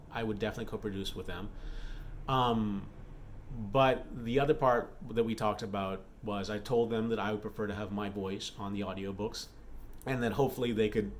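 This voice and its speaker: A man's voice: fairly low pitch, a little hiss or hum. A man talking casually in a solo vlog update as an author, rambling and thinking aloud as he goes. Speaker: author and vlogger. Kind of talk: casual chat, business update monologue.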